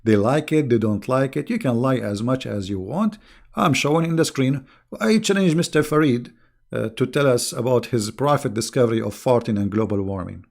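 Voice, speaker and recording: A man whose first language is Arabic talks straight to his viewers in a loose, mocking monologue. His voice is gravelly and sits at a middle pitch. He jumps between scorn and amusement as he speaks. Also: normal speed, a little mellow. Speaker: online religious debater.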